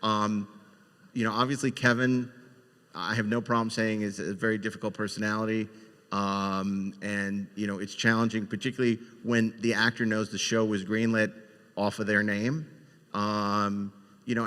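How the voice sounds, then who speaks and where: A man's voice: fairly low pitch, bright, forceful tone. A man moderating a live panel, asking questions in a casual, rambling, jokey way. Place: large indoor convention panel room. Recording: echoey hall with long reverb.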